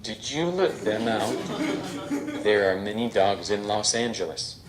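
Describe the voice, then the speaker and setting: A man voicing a deadpan male robot stand-up comedian with a flat, machine-like delivery. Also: lowish voice, slow.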